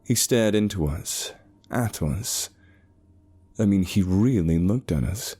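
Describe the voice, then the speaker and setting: A man reading a first-person horror story as a scripted narration, in a measured, suspenseful manner. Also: deep voice, animated, warm tone, breathy edge. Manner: measured, suspenseful, short pauses. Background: eerie background music.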